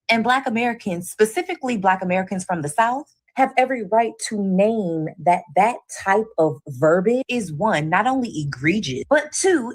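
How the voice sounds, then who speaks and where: A woman commentator making a firm, deliberate and forceful argument. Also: mid-range voice. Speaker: online commentator. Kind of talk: opinion commentary.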